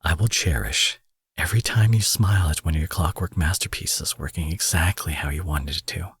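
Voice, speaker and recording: A man playing a protective, possessive supervillain in an intimate audio roleplay, husky and theatrical, moving between forceful speech and breathy murmurs. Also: deep voice, moderate pace, heavily acted. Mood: tender, devoted. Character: smooth protective male supervillain courting his captive rival.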